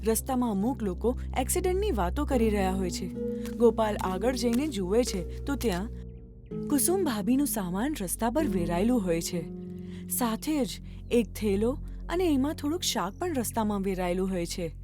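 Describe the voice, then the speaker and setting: A woman reads a scripted story for children as the narrator. Her voice is on the high side, and music plays clearly behind it. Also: animated, normal speed.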